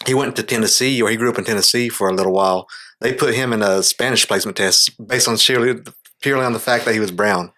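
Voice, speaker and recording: Low-voiced man in casual discussion. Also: fast, sharp tone. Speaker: podcast co-speaker.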